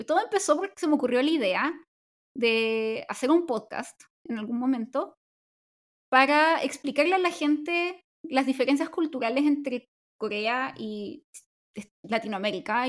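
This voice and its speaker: A woman from Chile with a Chilean Spanish accent co-hosts a laid-back podcast. Her voice sits high, and she talks in an upbeat, chatty way, often restarting her sentences. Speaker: podcast co-host. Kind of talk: casual chat.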